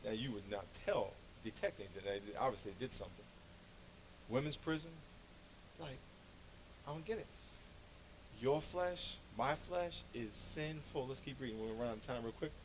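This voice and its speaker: A male preacher giving a conversational, anecdotal sermon, speaking in an animated, emphatic way and repeating phrases to make his point. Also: medium pitch, normal speed, long pauses, a little hiss or hum, poor audio.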